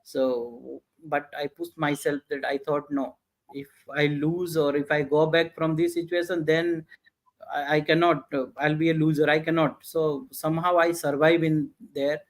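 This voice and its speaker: Indian English male guest, middle-aged, halting interview delivery. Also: on the high side, little pitch movement, rough recording. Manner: halting, many "uh" fillers and restarts. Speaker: guest entrepreneur. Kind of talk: interview answers, casual storytelling.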